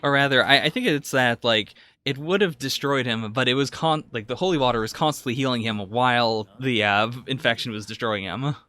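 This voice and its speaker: A man's voice: medium pitch, a little fast, few pauses, sharp tone. A man giving casual, analytical anime reaction commentary as a podcast co-host, musing as he talks.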